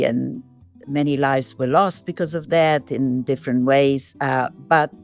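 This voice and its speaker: A middle-aged woman from Brazil, a designer and filmmaker, answering interview questions in a reflective, thinking-aloud way. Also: a bass voice. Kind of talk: casual interview talk.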